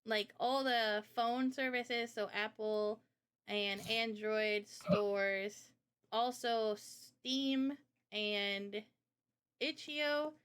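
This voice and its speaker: A woman hosting a relaxed question-and-answer chat as a game developer, explaining things informally. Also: a little high, extremely slow, clean tone. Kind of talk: casual chat, Q&A.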